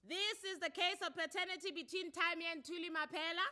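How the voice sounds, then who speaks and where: A woman's voice: very high voice, fairly even intonation, a little fast, bright, forceful tone, voice pushed up. A woman voicing a formal, commanding female magistrate in a dramatised story reading. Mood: official, commanding.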